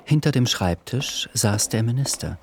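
A man playing the measured narrator of an uneasy literary radio drama, reading scripted prose. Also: mid-range voice, expressive, background noise, lightly acted.

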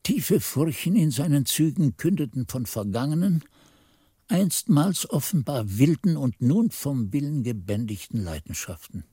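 An actor playing a monk who narrates as a devoted pupil in a radio play, in an earnest, literary, expressive manner. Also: male voice, mid-range voice, a little slow, slightly rough, no room sound, studio quality. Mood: awed, uneasy. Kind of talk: scripted radio drama narration.

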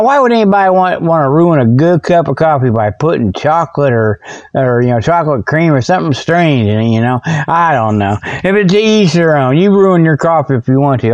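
A man with a somewhat high-pitched voice rambles through a humorous monologue as the host of his own show. His delivery is casual, folksy and amused by his own jokes. The sound is very dry, without any echo. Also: a little mellow, dull audio. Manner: folksy, jokey, self-amused with frequent chuckling. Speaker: host of a comedic paranormal report show. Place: outdoors behind his garage.

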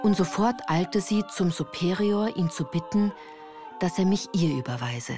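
Low-pitched woman narrating a radio play. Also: lively intonation, measured pace, over music. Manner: composed, articulate storytelling. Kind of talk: scripted first-person literary narration.

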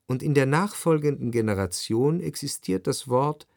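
An actor playing a reflective literary narrator in a radio drama, speaking in a measured, contemplative way. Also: male voice, mid-range voice, expressive, vocal fry, a little mellow, no echo, clean studio sound. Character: reflective, analytical literary narrator of Parisian society.

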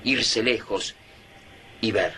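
A man's voice: lowish voice, very slow, sharp tone, slight background noise, full character voice, low-quality recording. A man playing a resolute, pragmatic survivor in a radio drama, speaking earnestly and decisively. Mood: grave, resolute.

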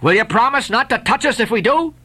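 Male reader doing a defiant mutinous sailor, raised voice, lively and bright, storybook reading. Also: high voice, normal speed, pitched above the performer's own voice. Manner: forceful, raised defiant speech. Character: defiant sailor ringleader, man, fierce.

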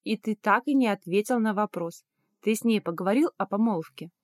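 A woman's voice: mid-range voice, a little fast, dry recording, studio quality, natural voice. A woman voicing a blunt, informal young princess heroine in an audiobook, outspoken and easily exasperated.

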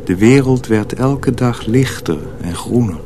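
An actor as a calm, solemn storyteller, reading scripted narration in a radio drama. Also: male voice, medium pitch, a little slow, noisy background, natural voice.

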